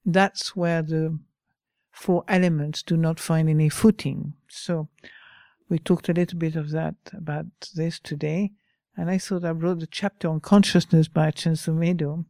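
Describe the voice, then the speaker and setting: Woman with a French accent, meditation teacher, slow and mellow, dry close sound. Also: sits low, fairly even intonation, no room sound. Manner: slow, pausing, gentle. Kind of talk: dharma talk.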